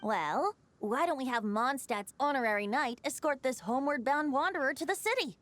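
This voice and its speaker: A woman's voice: sits high, expressive, moderate pace, a bit bright, soft background music. A woman voicing a youthful male bard spirit in an anime video game, breezy, playful, eloquent and theatrical. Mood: breezy, musing.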